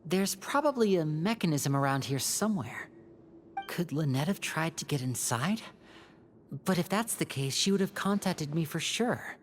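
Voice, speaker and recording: A man voicing a composed, analytical young magician in a video game, speaking in a measured, articulate, protective way. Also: on the high side. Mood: focused.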